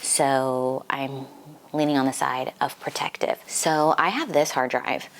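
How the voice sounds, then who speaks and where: A young woman with a low voice talks casually to her viewers as a book vlogger. She sounds a little stuffed up from a recent flu, and her delivery is informal and giggly, peppered with "like". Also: steady tone, measured pace. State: recovering from flu, slightly congested. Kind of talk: casual chatty life-update vlog.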